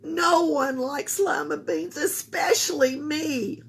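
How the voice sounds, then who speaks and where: A woman's voice: high voice, slow pace, gravelly, pitched above the performer's own voice, heavily acted. A woman voicing a miserable, reluctant young girl in a storybook, with an emphatic, groaning manner. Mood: disgusted.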